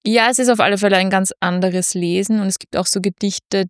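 A woman's voice: medium pitch, fairly even intonation, a little fast, dry recording, clean studio sound. A woman chatting casually about literature as a podcast co-host.

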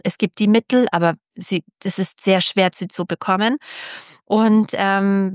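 A middle-aged woman with a medium-pitched voice talks as a podcast guest. She is candid and emphatic, repeating words to drive a point home. The sound is close and very dry. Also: clean tone, dry recording. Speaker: interviewed author. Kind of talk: podcast interview talk.

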